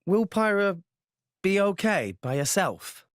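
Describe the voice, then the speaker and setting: A man's voice: high voice, very animated, slow, dry recording, full character voice. A young game hero in his teens. His voice moves up and down expressively, sincere and a little hesitant, recorded close and dry. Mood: uneasy, unsure. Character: earnest, uncertain teenage boy hero. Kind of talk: video game cutscene acting.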